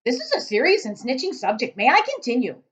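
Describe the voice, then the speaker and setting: A woman voicing a huge, boastful male giant from a children's storybook, emphatic and booming, with mangled grammar. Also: wildly swooping pitch, a little fast. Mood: loud, indignant. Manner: emphatic, shouting, mangled grammar and invented words. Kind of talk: children's storybook read-aloud.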